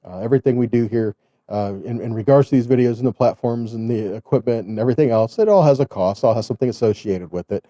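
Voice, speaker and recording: A man with a very mellow, relaxed voice of middling pitch teaches scripture. He sounds earnest and exhorting, in an informal sermon style with frequent rhetorical questions. The recording is dry, with little room sound. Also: quick pace, no echo. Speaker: Bible teacher, host of online Torah studies.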